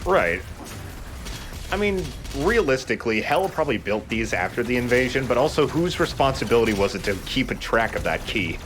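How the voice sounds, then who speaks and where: A man's voice: medium pitch, big pitch swings, brisk. A man giving casual, joking, sweary commentary as he plays a video game with friends. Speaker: let's play host playing the game. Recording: faint background noise.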